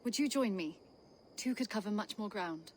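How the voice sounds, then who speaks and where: A woman voices an earnest, worried villager in a video game. Her voice is mid-pitched and her manner polite and plain-spoken. Faint ambience and crickets are behind her. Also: lively intonation, normal speed, a little hiss or hum, lightly acted.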